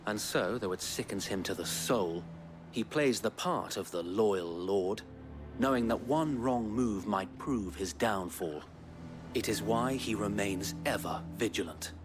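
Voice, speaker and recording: An actor playing a loyal, battle-weary resistance knight in a fantasy game, earnest and formal with a rough soldier's tongue. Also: male voice, lowish voice, unhurried, natural voice. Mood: earnest, grave. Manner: earnest, rousing, formal speech with some coarse swearing. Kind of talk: dramatic fantasy game acting. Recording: orchestral game music behind.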